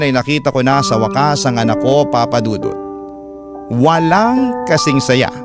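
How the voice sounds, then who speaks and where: A man's voice: medium pitch, natural voice. Man narrating as a repentant parent over music. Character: repentant parent recounting a longing for their child. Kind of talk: dramatic first-person story narration.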